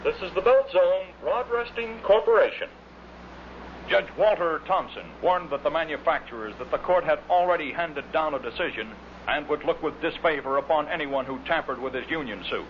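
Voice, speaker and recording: A man's voice: fairly high pitch, lively intonation, normal speed. A man working as a radio announcer in a vintage broadcasting style. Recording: muffled, noisy old radio broadcast.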